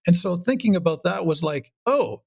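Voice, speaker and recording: A man from Canada talking casually as an interview guest, animated and rambling, acting out his own thoughts as he goes. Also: sits high, moderate pace, creaky. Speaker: audio comedy creator, podcast guest.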